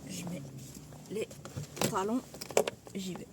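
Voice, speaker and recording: A woman's voice: mid-range voice, steady tone, takes it slowly, very noisy. A young woman vlogging in casual chatty talk, rambling and swearing a little, sick and tired. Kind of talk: casual vlog chat to camera.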